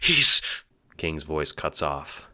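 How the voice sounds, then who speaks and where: A man's voice: sits low, normal speed, pushed and bright. A man reading scripted second-person narration for an audio drama. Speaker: narrator and writer.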